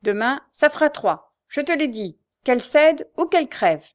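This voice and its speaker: A woman's voice: sits high, sing-song, normal speed, pitched above the performer's own voice. A woman voicing a vicious old beggar woman who bullies her son, shrieking and coarse. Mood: furious. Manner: screeching, coarse, snarling.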